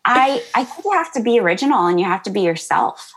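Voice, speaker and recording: Woman yoga teacher, high-pitched and bubbly, talks casually in an interview. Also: sing-song, fast talker, slightly rough. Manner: bubbly, laughs often, many fillers. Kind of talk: interview answers, casual chat.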